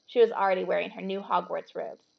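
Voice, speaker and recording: A woman's voice: on the low side, flat delivery, fast, gravelly, muffled recording. A woman storyteller reading a children's book aloud expressively, with the odd casual aside.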